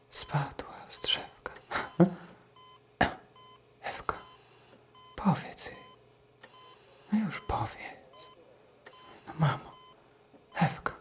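An actor playing a pleading young boy in a radio play, talking in a hushed half-whisper. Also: fairly high pitch, heavily acted.

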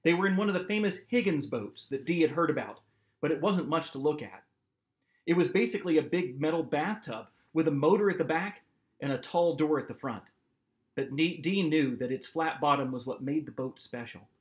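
A man in his forties reading a novel aloud as its author, with expressive, dramatic narration. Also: a little high, fairly quick. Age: 40s. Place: small home office, indoors.

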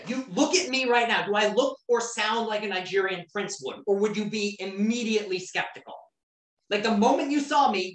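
A woman's voice: fairly low pitch, fairly bright tone. A woman teaching an online class in a casual, conversational way, often asking the students questions. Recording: roomy, distant from the mic.

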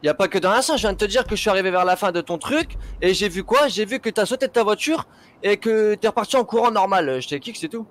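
A man's voice: high-pitched, lively intonation, fast. A man explaining himself insistently and casually as a game server admin in voice chat.

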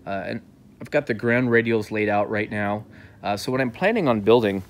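Low-voiced man, noisy outdoor recording. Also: normal speed. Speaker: amateur radio vlogger. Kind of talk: casual talk to camera. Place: outdoor backyard patio.

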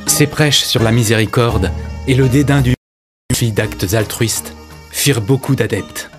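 A man narrating a scripted religious tale for an animated film in a measured, solemn storytelling manner. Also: medium pitch. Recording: prominent music behind the voice.

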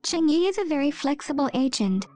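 A woman narrates short scripted captions in a voice-over. Her voice sits high, with a soft, mellow tone that has little edge to it. Faint music plays behind her. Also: very animated, brisk. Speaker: meme video narrator. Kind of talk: scripted voice-over reading memes aloud.

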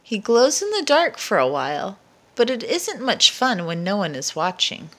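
A woman reading a children's picture book aloud as a storyteller, with expressive, deliberate narration and dramatic emphasis. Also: medium pitch.

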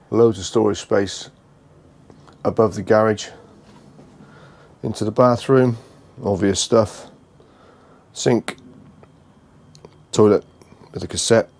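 A British man giving a casual, unscripted walkthrough tour, speaking haltingly and repeating phrases as he goes. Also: lowish voice, darkish tone. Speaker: vlogger giving a motorhome tour.

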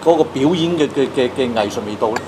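A man's voice: medium pitch, fast, mellow, slight background noise, poor audio. A man in his fifties with a Hong Kong accent, casually asking questions as an interview host. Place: small indoor studio with acoustic foam walls.

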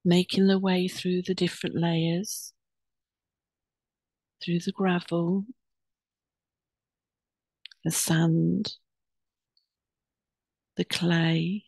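A woman leading a guided meditation in a soothing, unhurried, instructing way with pauses between phrases. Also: lowish voice, level tone, vocal fry, a little mellow, husky, no room sound.

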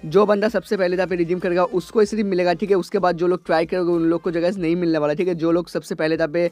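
A hoarse young man, a gaming YouTuber talking casually and rambling, filling every pause with "guys". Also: sits high, little pitch movement, darkish tone, no echo. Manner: repeats "guys" constantly, rambling filler-heavy delivery. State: hoarse from a cold. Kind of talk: casual screen-recorded gaming update.

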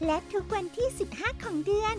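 A woman voicing a cheerful little girl who hosts a children's cartoon show, sweet, bubbly and slow. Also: very high-pitched. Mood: gentle. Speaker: show host. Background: children's background music.

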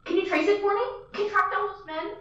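A fretful, demanding rich woman, played by a young woman in a school radio drama, nagging the phone exchange in a high, indignant voice. She sounds roomy and distant, caught from across a room with a dull, muffled tone. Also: fairly even intonation, quick pace, a bit bright. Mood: urgent. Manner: indignant, fussy and insistent. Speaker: student actor. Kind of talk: school radio play acting.

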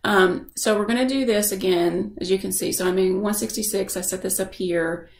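A woman giving a casual software tutorial as a screen-share voice-over, explaining informally and thinking aloud. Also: medium pitch, steady tone, moderate pace, gravelly, roomy sound. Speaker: software instructor.

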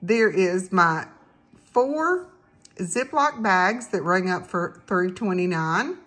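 Slow-talking woman, casual and halting. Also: on the low side, animated, fairly bright tone. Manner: halting, rambling. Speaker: couponing vlogger. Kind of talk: casual chat to viewers. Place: indoors at home.

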